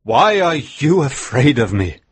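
A man's voice: mid-range voice, very animated, measured pace, breathy edge, no room sound, voice pushed up. A man voicing a dying old reverend who cries out defiantly from his deathbed. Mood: accusing. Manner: cries out, raised and intense.

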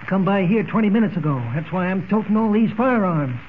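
Male actor as rustic country man, high pitch, folksy drawl, alarmed, old-time radio play, thin narrow-band sound. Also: brisk, lightly acted. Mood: wary, insistent. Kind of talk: 1940s radio drama acting. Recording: tinny, noisy old radio recording.

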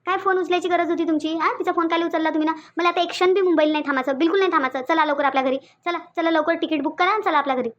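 Woman voicing a shrill, high-pitched jealous young wife. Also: steady tone, very fast, few pauses, a bit bright, lo-fi sound. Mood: indignant, jealous. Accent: Vidarbha (Varhadi) Marathi. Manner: insistent, repeating demands and questions. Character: jealous, insecure young wife, indignant and tearful. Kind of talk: Marathi cartoon story dialogue.

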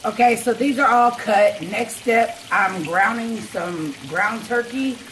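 A woman's voice: on the high side, slow, fairly bright tone. A woman, casually talking through cooking steps for a home vlog, recorded on a camera in her kitchen. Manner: informal, step-by-step explaining. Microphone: camera on counter or at arm's length. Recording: noisy kitchen with frying and clatter.

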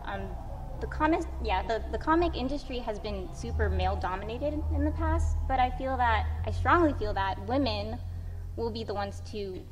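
A woman's voice: a little high, lively intonation, normal speed. A young woman speaking casually as a comic artist on a convention panel. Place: convention panel stage before an audience. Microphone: table microphone on stand. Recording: reverberant hall, faint noise.

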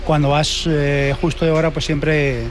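A Spanish man, a bus driver, answering haltingly on a bus. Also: on the high side, little pitch movement, noisy background. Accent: Spanish from Spain. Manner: hesitant, halting. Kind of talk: interview answers. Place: city bus.

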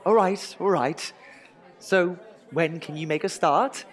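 A man playing an anxious, exasperated Tudor court lyricist in a comic audio drama, with theatrical, drawn-out emphasis. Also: high voice, a faint crowd. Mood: urging.

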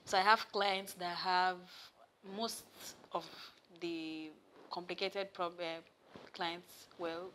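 A young Nigerian woman with a Nigerian accent, a massage therapist chatting as an interview guest and telling stories with animated bursts, recorded in a studio. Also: slow, a little hiss or hum. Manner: animated storyteller, rises loud and high when excited. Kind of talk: casual interview chat. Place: studio set with sofa, indoors.

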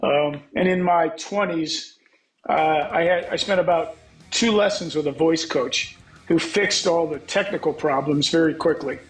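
A middle-aged man with a scratchy voice answers in a frank, reflective way and swears now and then. His voice sits a little high. The call audio sounds echoey, as if he is in a bare room at home. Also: a little slow. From United States. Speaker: guest songwriter. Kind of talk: candid interview talk.

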